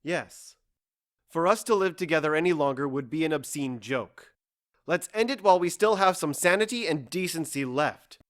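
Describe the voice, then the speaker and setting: A man's voice: fairly high pitch, lively intonation, normal speed, fairly bright tone. A man playing a stoic, embittered husband in an audio drama, weary and resolute, with grave, formal speech. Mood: bitter, disgusted.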